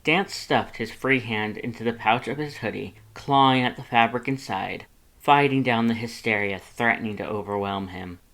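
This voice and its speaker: An expressive audiobook reader of fan fiction, the voice sitting at a middle pitch. The narration is dramatic and intense, shaped like a scripted story. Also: normal speed.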